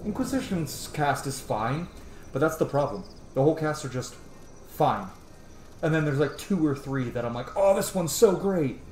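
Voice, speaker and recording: A man's voice: on the high side, expressive, normal speed, darkish tone. Echoey, rambling man doing game commentary. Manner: rambling, opinionated, conversational. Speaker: video game streamer. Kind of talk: casual let's-play commentary. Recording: echoey room with noise behind.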